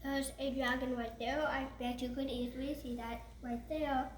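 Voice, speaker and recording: A high female voice chatting casually in simple, halting, repetitive phrases, pointing things out. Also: steady tone. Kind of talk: casual, unscripted pointing out of picture details. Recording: roomy echo with background noise.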